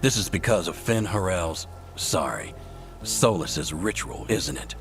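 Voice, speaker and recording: A blunt elven ranger of ancient magic, low in pitch and gruff. He speaks like a game character, with faint music behind. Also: expressive, unhurried, a bit bright, full character voice. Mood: gruff, accusing. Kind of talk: video game cutscene acting.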